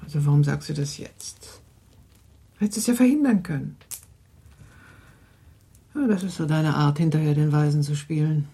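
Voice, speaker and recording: Husky, low-voiced reproachful wife. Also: female voice, moderate pace, long pauses, warm tone. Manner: reproachful, pointed. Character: older mother, reproachful wife worried for her daughter. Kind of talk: German radio play acting.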